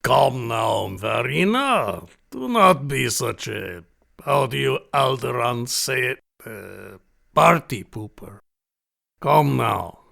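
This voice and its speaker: An actor playing a gloating, mock-courteous villainous hunter, pompous and theatrical, in an audio drama. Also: male voice, mid-range voice, very animated, creaky, sharp tone, full character voice. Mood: oily, mock-charming. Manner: pompous, formal, drawn-out taunting.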